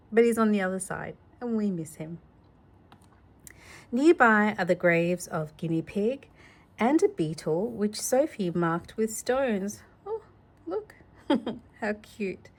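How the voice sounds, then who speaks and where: A woman reading a children's storybook aloud in an informal, gentle, conversational way, adding personal asides for a child. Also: medium pitch, animated, slow pace, pauses often. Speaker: storybook reader.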